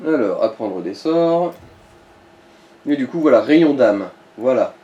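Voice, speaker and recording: A man's voice: mid-range voice, expressive, slow pace, dark tone, dull audio. A man chatting casually as he advises on a video game, crude and joking at times, often explaining things. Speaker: co-commentator advising the player. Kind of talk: casual gaming let's play chat. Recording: roomy, distant mic, faint noise.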